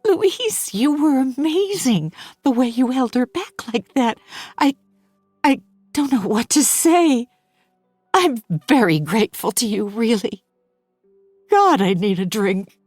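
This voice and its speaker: A frightened young mother spills out breathless, pleading lines, as a woman narrator puts her on. Her voice climbs high and wavers with nerves. The recording is dry and close. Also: animated, slow, a little mellow, no room sound, soft background music. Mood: grateful. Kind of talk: audiobook character voice.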